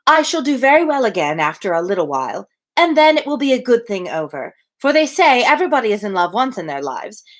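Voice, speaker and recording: Woman reading as a self-assured young lady, lively pitch. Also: fairly quick, hardly any pauses. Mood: musing. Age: young adult. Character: self-assured young genteel woman, musing over her suitor.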